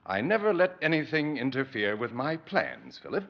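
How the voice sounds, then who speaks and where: On a dull old radio recording, a scheming male villain with a swooping, animated delivery. He is theatrical and over-polite, halting as he soothes, in 1940s horror drama style. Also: mid-range voice, gravelly. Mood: sinister, calm.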